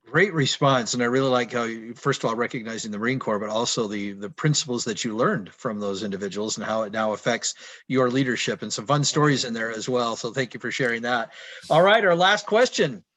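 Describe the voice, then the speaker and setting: A man hosts a business interview at an even, middle pitch. He politely affirms each answer, then reads the next numbered question in a measured way. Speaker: interview host.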